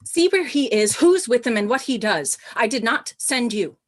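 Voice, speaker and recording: A woman's voice: on the high side, animated, moderate pace. A woman playing a capricious, jealous, imperious queen in a Shakespearean radio play, with melodramatic delivery. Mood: scheming, haughty.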